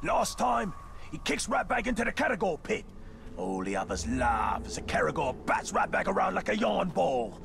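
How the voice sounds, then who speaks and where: An actor playing a scheming, boastful, cowardly orc underling in a video game, with a gravelly voice and a conniving manner. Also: male voice, high voice, very animated, moderate pace, heavily acted. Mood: resentful, scheming. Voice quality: gravelly, creaky. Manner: speaks of himself in third person, conniving. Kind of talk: video game character acting. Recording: music under the voice.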